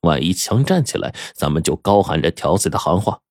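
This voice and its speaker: A man voicing a cunning, soft-spoken male gang strategist in a spoken story. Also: low-pitched, animated, a little fast, dry recording, clean studio sound. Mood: scheming. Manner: hushed, sly.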